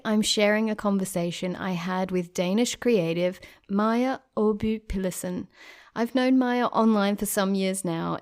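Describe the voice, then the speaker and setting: A woman hosting a nature podcast, reading a scripted intro, then asking a guest questions with enthusiasm. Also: medium pitch. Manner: warm, enthusiastic. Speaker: podcast host.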